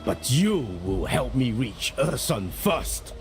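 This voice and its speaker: A man's voice: medium pitch, big pitch swings, slow pace, faint music behind. A man playing a proud, distrustful iron dragon lord in a video game cinematic, stern and commanding. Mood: proud, conspiring.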